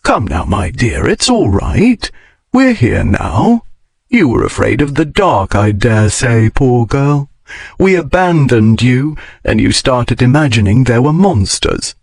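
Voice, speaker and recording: A man voicing a proud gentleman adventurer who hides his unease, in audiobook dialogue. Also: medium pitch, lively intonation, moderate pace, no echo, close to an everyday voice. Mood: crooning, soothing. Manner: clipped, stiff, cool.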